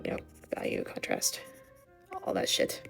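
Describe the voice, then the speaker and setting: A young woman with a scratchy, hoarse voice rambling casually as she draws, swearing and muttering to herself. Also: moderate pace, fairly bright tone, in a whisper, faint music behind. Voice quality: scratchy, vocal fry. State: voice giving out, hoarse. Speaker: art vlogger. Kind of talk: rambling casual commentary while drawing.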